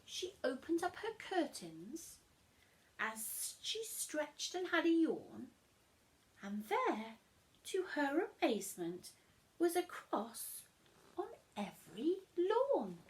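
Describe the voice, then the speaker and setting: A woman reading a storybook aloud to children as a teacher would, animated and emphatic, talking directly to young listeners. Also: high-pitched, slow pace, rough recording.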